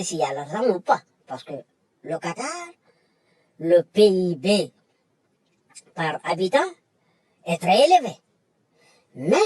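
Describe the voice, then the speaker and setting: A woman's voice: mid-range voice, darkish tone, slightly rough, no echo. A woman delivering an emphatic, lecturing monologue that repeats its points. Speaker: commentator. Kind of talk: impassioned monologue.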